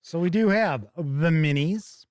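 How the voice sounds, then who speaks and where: A man's voice: on the high side, unhurried, darkish tone, no room sound, clean studio sound. A man hosting a tabletop gaming show, giving chatty, casual live commentary as he looks over products and talks with viewers.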